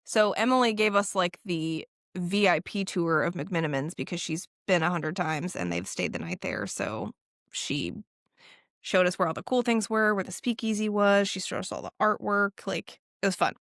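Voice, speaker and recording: A woman co-hosting a podcast, chatting casually in an animated, teasing way with quick jokes. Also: lowish voice, no room sound, studio quality. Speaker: podcast co-host. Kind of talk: casual chat.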